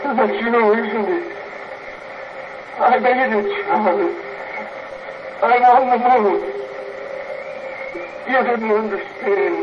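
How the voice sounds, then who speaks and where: A distant, echoing old radio recording carries a frail old man. He is dreamy and halting, dying but quietly triumphant. The words come extremely slowly through a muffled, noisy signal. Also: high voice. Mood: fragile triumph. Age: older. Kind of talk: 1950s radio drama acting.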